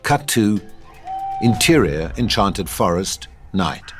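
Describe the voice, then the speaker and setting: A man's voice: lowish voice, sing-song, slow pace, faint music behind. A man reading scripted screenplay narration as the narrator of a table read.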